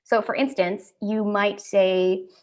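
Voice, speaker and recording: A young adult woman with an Indian accent, hosting an online panel talk in a friendly, measured way with frequent ums. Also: medium pitch, steady tone, a little mellow. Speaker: host.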